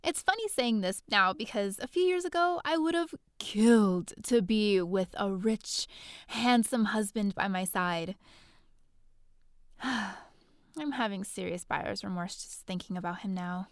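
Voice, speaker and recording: A woman's voice: mid-range voice, a little slow, dry recording, studio quality, close to an everyday voice. A woman playing a chatty, bookish young heroine in a space-opera audio drama, theatrical and sardonic, full of casual asides. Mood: exasperated disdain. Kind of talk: first-person scripted audio drama narration.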